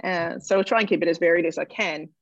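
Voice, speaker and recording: An Australian woman, a doctor, answering interview questions in a thoughtful, hesitant way, with many pauses and restarts. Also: lowish voice, no room sound, dull audio. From Australia (Melbourne).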